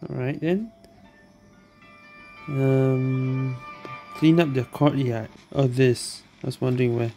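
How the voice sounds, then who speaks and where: A woman playing a game and commenting casually. She mutters slowly in short fragments, with game music behind her. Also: extremely low pitch, steady tone, dark tone. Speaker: gamer.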